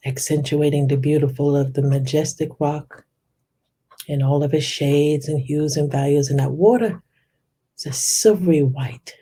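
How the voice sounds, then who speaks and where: Very slow, deeply mellow meditation guide. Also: husky, poor audio. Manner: soft, soothing, unhurried, lingering on descriptive words. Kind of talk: guided visualization.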